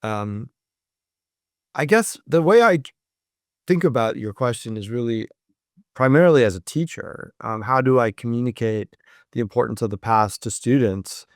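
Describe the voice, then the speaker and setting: A man's voice: medium pitch, expressive, normal speed, pauses often, no echo, studio quality. A man who is a professor, answering interview questions thoughtfully, with frequent ums and restarts. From United States.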